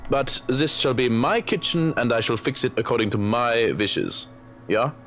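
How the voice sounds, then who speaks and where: A man's voice: medium pitch, animated, moderate pace, a bit bright. A man playing a firm, proprietary chef with a German accent in a visual novel game. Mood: firm, polite. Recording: music behind the voice.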